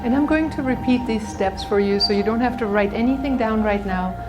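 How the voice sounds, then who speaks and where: A woman's voice: a little high, brisk, music in the background. A woman teaching a motivational seminar, telling stories and addressing her listeners directly as she guides them. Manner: animated storytelling, addressing the audience directly. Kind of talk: motivational workshop talk with anecdotes and guided exercise.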